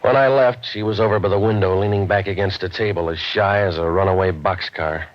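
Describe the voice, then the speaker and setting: A low-voiced, hardboiled private eye , played by a male actor from an old radio drama. He delivers wisecracks and noir narration with a cynical deadpan. The recording is dull and dated. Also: brisk, dry recording, lightly acted. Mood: wry, deadpan. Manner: deadpan, cynical, tough-talking. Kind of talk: 1940s radio detective acting and noir narration.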